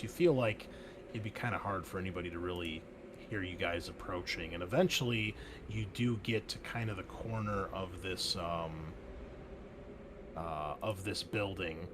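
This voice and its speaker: A man acting as host and game master of a tabletop roleplaying game, explaining the scene in a relaxed way with many fillers. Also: lowish voice, noisy background. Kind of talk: running a tabletop game session.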